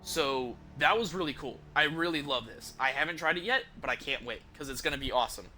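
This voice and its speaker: Bright, forceful male game streamer. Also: on the high side, a little fast, soft background music. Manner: informal, enthusiastic, rambling. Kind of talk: casual live stream commentary.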